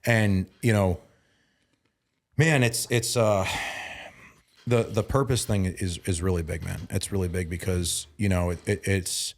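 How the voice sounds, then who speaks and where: A man in his thirties talking as a guest on a casual podcast. He sounds earnest, repeats himself and restarts a lot, and grows emphatic and louder when he starts preaching. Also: deep voice, steady tone, a little slow. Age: 30s. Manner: repeats words and restarts often. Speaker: guest, bodybuilding and mindset coach.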